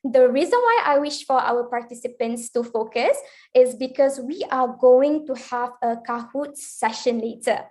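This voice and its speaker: A woman with a high voice hosts an online leadership program, reading her formal remarks over a video call. Her delivery is polished and scripted. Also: darkish tone. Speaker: program emcee. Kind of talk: scripted event hosting.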